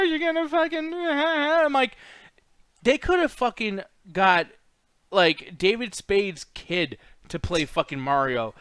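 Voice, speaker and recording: High-pitched, blunt, swearing male podcaster. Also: clear, resonant tone, dry recording. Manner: blunt, swearing, exasperated, animated mimicry. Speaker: podcast co-host. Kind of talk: casual profane banter and ranting about movies.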